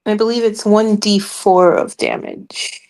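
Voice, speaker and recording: A woman's voice: medium pitch, huge pitch swings, a little slow, creaky, a little mellow, rough recording. A woman chatting casually as a player in a tabletop game.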